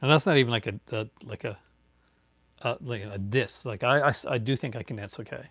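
A man's voice: medium pitch, a little fast, a bit bright, no room sound. A man in his forties with a light Newfoundland accent, chatting casually and rambling with many fillers and restarts on a live stream from home. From Newfoundland, Canada. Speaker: YouTuber answering viewer chat. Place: home room with bookshelves, indoors.

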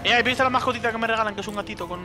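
A man's voice: high-pitched, racing, over music. A man chatting with friends while gaming, sarcastic and wisecracking. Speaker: friend on voice chat. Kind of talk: casual chat while gaming.

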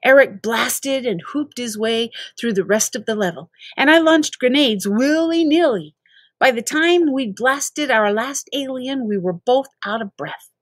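A middle-aged woman reading a children's book aloud in an expressive, animated, emphatic way. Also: a little high, unhurried, no room sound. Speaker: read-aloud storyteller.

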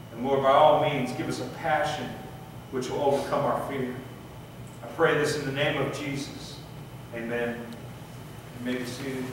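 A middle-aged man preaching from a pulpit in a church sanctuary, earnest and measured, reading scripture and praying. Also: mid-range voice, recorded from a distance, slight background noise. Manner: earnest, measured delivery with occasional slips and restarts. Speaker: pastor. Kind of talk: sermon with scripture reading and prayer. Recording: echoey sanctuary audio picked up from afar.

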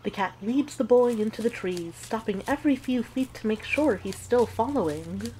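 A woman playing a witch who narrates an audio drama with measured, deliberate storytelling. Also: fairly high pitch, animated, dark tone, a little hiss or hum, lightly acted, poor audio.

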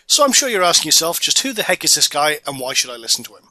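A middle-aged Scottish man talks through a marketing course with a very bright, sharp-edged tone. His pitch sits a little high, and he sounds loose and salesy, full of "uh" and "you know". The recording is dry and close, with no room sound. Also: sing-song, quick pace, no room sound. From Orkney Islands, Scotland. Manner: casual, filler-heavy sales pitch. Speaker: online course presenter. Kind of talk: narrated slideshow course video.

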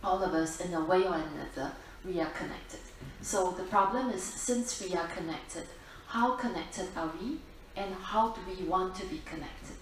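A woman giving a dharma talk to a group, speaking deliberately, repeating herself and putting questions to her listeners. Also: on the low side. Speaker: Buddhist nun, teacher. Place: library-like meeting room, talk to an audience. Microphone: distant camera at back of room. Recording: echoey room, distant mic, faint noise.

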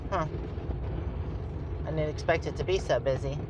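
A man with a somewhat high voice hosts a casual travel vlog, chatting and thinking aloud as he drives. The recording comes from inside a vehicle, and road and engine noise is clearly heard behind him. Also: moderate pace. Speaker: host.

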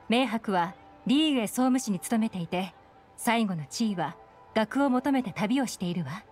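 Cool, composed young woman in command. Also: fairly high pitch. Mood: frank, serious. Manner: poised, matter-of-fact, assured. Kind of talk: anime video game dialogue.

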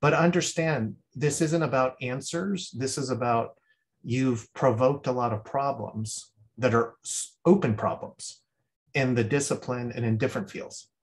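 A man critiques a student's project over a video call. He thinks aloud, circles back and restarts his sentences, at an ordinary mid pitch. It sounds like casual spoken feedback from a guest critic. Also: unhurried. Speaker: guest critic at a design review.

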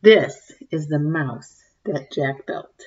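A woman in her forties reading a picture book aloud to young children, expressively, pausing to ask them questions and comment. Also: low voice, darkish tone, breathy edge, lo-fi sound. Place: small home room, indoors. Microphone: webcam or laptop mic at desk distance.

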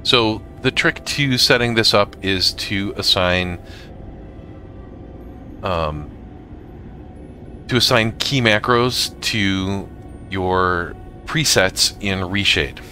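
A man with a low, bright and crisp-edged voice walks through a casual gaming tutorial. He speaks slowly and haltingly, breaking off mid-sentence before carrying on. Faint music plays behind him. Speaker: gamer making a tutorial video. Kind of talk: casual software tutorial narration.

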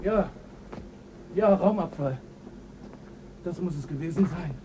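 An actor playing a frightened male astronaut who shouts in panic about something outside. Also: a little high, slow, slight background noise. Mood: panicked.

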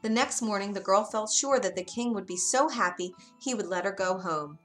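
A woman narrating a children's bedtime story in an expressive, gentle way. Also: mid-range voice, moderate pace. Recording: soft music bed behind.

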